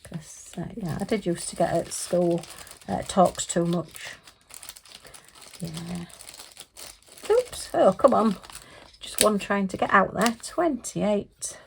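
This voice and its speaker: Brief middle-aged woman, a little hoarse, rambling crafter talking to her viewers over a faint hiss. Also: medium pitch, slow pace, long pauses, poor audio. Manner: chatty, rambling, with frequent fillers and light laughs. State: slightly hoarse, losing her voice. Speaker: craft vlogger. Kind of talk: casual chat while crafting. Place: home craft room, at a desk. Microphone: overhead camera above the desk, hands only.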